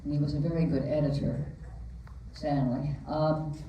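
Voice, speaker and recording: A woman's voice: low voice, steady tone, normal speed, dark tone. A woman who is a film producer tells a long, chatty anecdote to an audience at a theater Q&A. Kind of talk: storytelling at a Q&A. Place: large movie theater. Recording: echoey theater hall, distant mic, faint noise.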